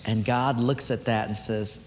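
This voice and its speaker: A man's voice: on the low side, steady tone, a little slow, a little hiss or hum. Earnest preacher man in echoing hall. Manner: earnest, emphatic preaching that swings between lifted and hushed. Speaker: pastor. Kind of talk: sermon ending in prayer. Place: church.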